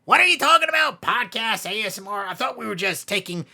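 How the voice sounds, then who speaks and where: A man voicing Cerberus, a gruff, grumbling hellhound who hosts bedtime stories, with a gravelly, raspy voice. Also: high-pitched, expressive, quick pace, bright, forceful tone, exaggerated voice. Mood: indignant. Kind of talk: scripted skit banter and horror storytelling.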